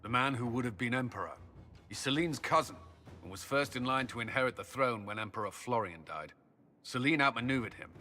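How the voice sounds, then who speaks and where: An actor playing a serious, matter-of-fact military commander in a video game, crisp and businesslike. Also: male voice, fairly low pitch, pushed and bright, slight background noise.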